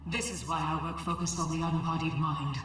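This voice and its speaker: Echoing, guarded station AI. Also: female voice, sits low, fairly even intonation, a bit bright, a little hiss or hum. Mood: firm, wry. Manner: clipped system announcements, deliberate and dry. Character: resentful female station AI, guarded, coldly clinical and weary. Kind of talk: video game cutscene acting. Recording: very echoey, distant, music behind.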